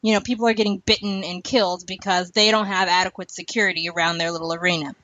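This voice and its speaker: A woman's voice: fairly low pitch, steady tone, fast, sharp tone, no room sound. A woman hosting a podcast, chatting casually and giving picky opinions about a show. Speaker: podcast host. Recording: dull, muffled lo-fi audio.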